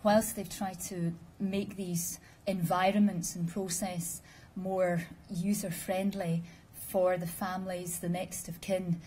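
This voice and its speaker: A woman speaks to a room of listeners in a measured, explanatory way, with a dry sense of humor. Her voice is husky and mellow, warm rather than bright. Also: lowish voice, little pitch movement. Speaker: conference speaker. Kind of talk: formal presentation with audience questions.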